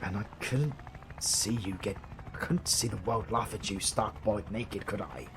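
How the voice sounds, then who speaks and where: A man's voice: lowish voice, normal speed, noisy background, natural voice. A British man playing a theatrical supervillain in a romantic comedy audio roleplay, swinging between menace and exasperation at the heroine he is smitten with. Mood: pained, tender. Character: flustered theatrical male supervillain, smitten with his heroine rival.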